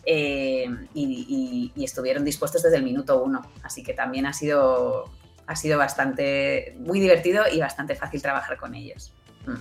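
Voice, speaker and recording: A woman with a Castilian Spanish accent and a low voice chats as a guest in a casual interview. She sounds enthusiastic and often seeks agreement with short tag questions. Faint music plays behind her. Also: moderate pace, vocal fry. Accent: Spain. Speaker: podcast producer and event presenter, interview guest.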